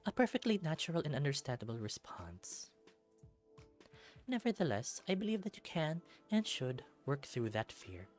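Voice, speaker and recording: A man voicing a stern, formal female bear superhero in a visual novel, speaking in measured, deliberate phrases. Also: fairly high pitch, sing-song, soft background music, pitched above the performer's own voice. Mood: stern, detached.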